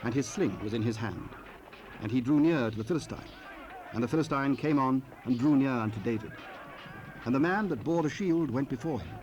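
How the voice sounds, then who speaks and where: A man narrating scripture in a formal, solemn and measured way. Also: medium pitch, a little mellow, lo-fi sound. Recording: faintly noisy cassette rip.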